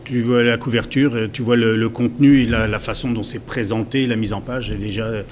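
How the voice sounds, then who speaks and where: A man's voice: mid-range voice, fairly even intonation, fairly quick, slight background noise. A man from France with a French accent, a guest on a chat show who talks with many fillers and restarts. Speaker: magazine publisher guest. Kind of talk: casual chat.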